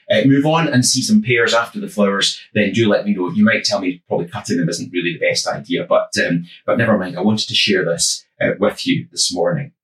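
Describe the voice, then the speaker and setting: A man from the west of Scotland with a West of Scotland accent, giving a gentle, reflective devotional talk with many small ums and uhs. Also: fairly low pitch, echoey room, far from the mic, low-quality recording. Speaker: church minister.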